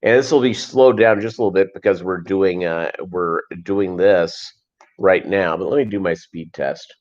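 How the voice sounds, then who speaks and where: A middle-aged man chatting casually as a livestream host, in a rambling, halting way that often repeats phrases. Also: fairly low pitch. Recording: dull lo-fi livestream audio.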